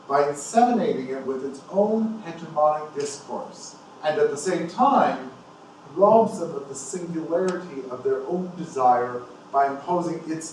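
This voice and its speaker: A man's voice: on the high side, darkish tone. Echoey, distant man reading an academic paper. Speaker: academic presenter reading another scholar's paper aloud. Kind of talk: formal academic paper read aloud, with brief asides. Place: indoor university conference room. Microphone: distant from the speaker. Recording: echoey room, distant mic, faint noise.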